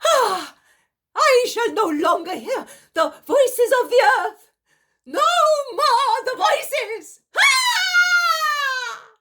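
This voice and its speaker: A woman's voice: extremely high pitch, big pitch swings, extremely slow, bright, forceful tone, shouting, voice pushed up, heavily acted. A woman doing a shrieking madman in a frenzy. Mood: frenzied. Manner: shrieking, wild outbursts. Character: raving insane man, convulsing and shrieking.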